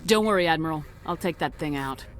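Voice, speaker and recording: Brisk, terse female commander. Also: sits low, lively intonation, a little hiss or hum. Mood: resolute. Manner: terse, assured. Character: cool, determined female military commander, game heroine. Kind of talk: video game voice acting.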